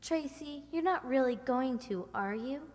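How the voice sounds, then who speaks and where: A woman's voice: sits high, lively intonation, unhurried, darkish tone. A woman playing an eager, gossipy young kid sister in a radio comedy, telling tales in an earnest, confiding way. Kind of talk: 1940s radio comedy acting. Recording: echoey hall stage recording.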